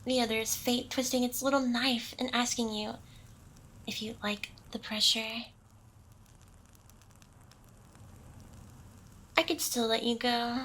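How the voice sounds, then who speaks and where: A woman's voice: fairly high pitch, fairly bright tone, rough recording. A woman playing an ancient, smug, seductive demon boss in an ASMR roleplay, with an intimate, teasing delivery.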